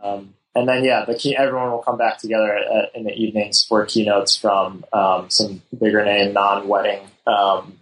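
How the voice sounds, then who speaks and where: A man, a wedding photographer guest on a podcast, explaining things in an easygoing, halting way. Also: fairly low pitch, level tone, fairly bright tone, rough recording. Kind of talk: casual interview chat.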